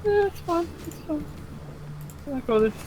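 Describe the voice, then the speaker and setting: A man's voice: extremely high pitch, big pitch swings, measured pace, noisy background. A man playing alongside a friend, teasing and joking casually while he games. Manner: teasing, jokey. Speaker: co-player friend. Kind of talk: casual gaming chat.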